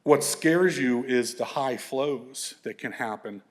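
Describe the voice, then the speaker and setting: A man's voice: medium pitch, slow pace. A middle-aged man, presenting slides to an audience in a loose, conversational way with lots of fillers and restarts. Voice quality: big voice. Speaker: environmental consultant presenting to an audience.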